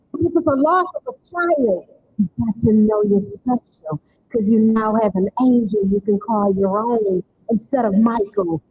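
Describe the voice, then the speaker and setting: A woman giving an earnest, preacherly personal testimony as a motivational speaker at a live community event. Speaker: event speaker, bereaved mother. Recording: dull live recording, faint rumbling noise.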